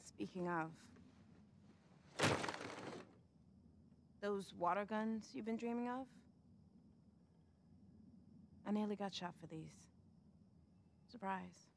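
A woman's voice: medium pitch, moderate pace, creaky, background noise, natural voice. A woman playing a confident, teasing teenage girl in a video game, with casual, natural, bantering delivery. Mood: earnest, warm.

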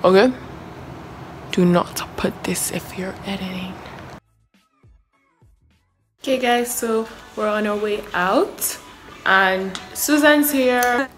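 A woman's voice: medium pitch, slow pace, long pauses, fairly bright tone. Young woman, mid-range voice, informal vlog chat on a phone, music underneath. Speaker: friend filling in on a vlog. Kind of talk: casual chat to camera. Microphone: phone at arm's length.

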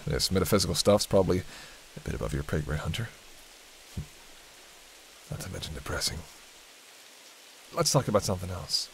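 A man's voice: low voice, normal speed, creaky, pauses often. A man playing a sardonic, teasing vampire in an intimate roleplay, talking in a conversational, bantering way with dry sarcasm. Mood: musing, bantering. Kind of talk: intimate audio roleplay monologue. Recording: steady hiss and rain behind. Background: rain.

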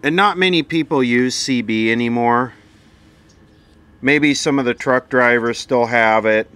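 A man's voice: medium pitch, measured pace, fairly bright tone, clean tone. A man giving casual, off-the-cuff commentary as he looks over vintage electronics, with dry, sardonic asides. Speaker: vintage electronics repair host.

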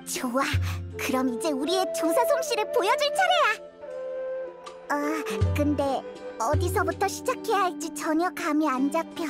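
Tiny chirpy fairy girl, very high and bouncy, game dub. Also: very animated, loud music behind the voice, exaggerated voice. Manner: bouncy, quick, talkative. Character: tiny floating female fairy companion, chirpy and excitable.

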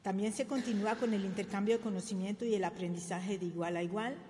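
A woman's voice: medium pitch, fairly even intonation, fast talker, warm tone, a little hiss or hum. An older woman giving a formal presentation at a podium, speaking with frequent fillers and self-corrections. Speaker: conference speaker. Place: government press room. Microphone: podium microphone. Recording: reverberant room, faint ambience.

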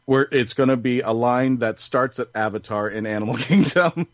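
A man's voice: medium pitch, normal speed, no echo. A man hosting a casual panel podcast, chatting in a jokey storytelling manner. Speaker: podcast host. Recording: dull, lo-fi audio.

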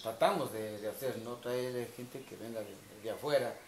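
A middle-aged man with an Ecuadorian accent, giving courteous, halting interview answers as winery staff, often repeating himself. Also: mid-range voice, a little mellow. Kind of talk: informal on-site interview answers. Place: covered brick patio at a winery. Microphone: camera a few metres away. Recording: echoey, distant camera mic, background noise.

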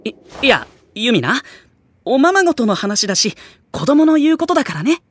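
An actor playing a polite, easily flustered teenage hero in an anime audio drama, reacting with exasperation. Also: male voice, very high-pitched, big pitch swings, moderate pace, fairly bright tone, slight background noise, full character voice. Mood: flustered protest.

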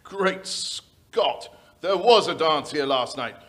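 Man playing a husky, excitable English gentleman. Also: fairly high pitch, slow pace, exaggerated voice. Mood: alarmed. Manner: exclamatory, slightly hesitant. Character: excitable English gentleman, genteel and easily astonished. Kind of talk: old-time radio drama acting. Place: small indoor stage, live performance. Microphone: vintage-style stand microphone.

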